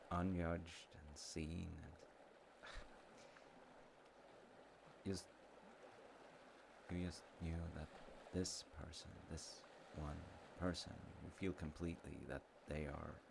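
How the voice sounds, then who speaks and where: A sweet, sincere young-at-heart sweetheart, acted by a man in a romantic audio roleplay, with a very low voice full of gravel. He talks slowly and haltingly, tender and earnest toward an old friend he loves. Clear watery ambient noise runs behind him. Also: full character voice. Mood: wistful, tender. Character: sweet, slightly slow childhood friend, male, sincerely in love.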